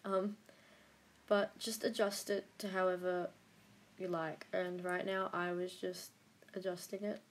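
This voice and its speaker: A woman's voice: fairly low pitch, flat delivery, a little slow. Hesitant young woman rambling through a tutorial. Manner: rambling, self-deprecating, hesitant with many fillers. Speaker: editing tutorial creator. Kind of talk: casual screen-recorded tutorial.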